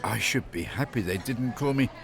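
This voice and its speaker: A man's voice: medium pitch, expressive, moderate pace, creaky, crowd noise. A middle-aged or older man chatting casually and humorously, telling anecdotes in a wry way and often amused by his own jokes. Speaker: expatriate living in Finland.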